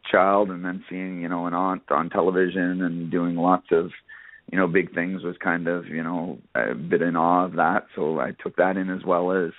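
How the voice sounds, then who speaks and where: A man from Nova Scotia, Canada, chatting casually as an interview guest, halting and full of filler phrases. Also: low-pitched. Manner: halting, peppered with "you know". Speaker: interview guest, country singer. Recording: narrow phone line, roomy echo.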